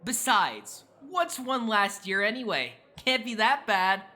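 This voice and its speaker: A performer playing an earnest, excitable and kind but anxious high-school boy in an audio drama, gushing in casual teen slang. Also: takes it slowly, full character voice. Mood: playful, reassuring.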